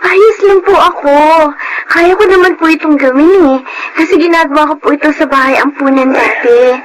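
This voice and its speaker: A young adopted girl, timid and keen to please, in radio drama style. The voice is very high, polite and hesitant, over clear background noise. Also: normal speed, a bit bright, low-quality recording. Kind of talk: Filipino radio drama acting.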